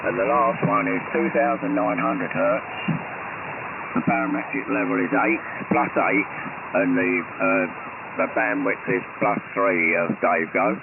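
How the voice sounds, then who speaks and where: Gravelly man on a hissy radio. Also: on the low side. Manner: halting, reads out equipment settings step by step. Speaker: amateur radio operator. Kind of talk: on-air radio net chat. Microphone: radio transceiver microphone. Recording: tinny radio transmission with hiss.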